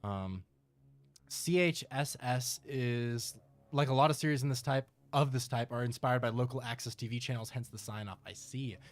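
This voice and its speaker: A man's voice: mid-range voice, moderate pace. A man doing casual live reaction commentary as a streamer, with a joking, sarcastic manner. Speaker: streamer reacting to horror videos.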